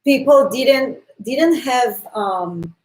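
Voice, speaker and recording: A woman from Israel co-hosting a casual talk show, speaking with pauses and fillers. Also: high-pitched, expressive, rough recording. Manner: slow, hesitant, many fillers. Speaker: podcast co-host. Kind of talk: casual conversation.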